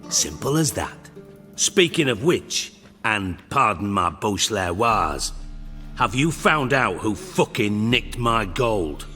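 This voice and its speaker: Sharp-voiced sardonic British spymaster. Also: male voice, lowish voice, very animated, slow pace, in a large hall, faint music behind, exaggerated voice. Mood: wry, probing. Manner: mockingly polite, wry, articulate, profane. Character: cynical, shrewd, sarcastic male underworld spymaster, middle-aged. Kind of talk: video game voice acting.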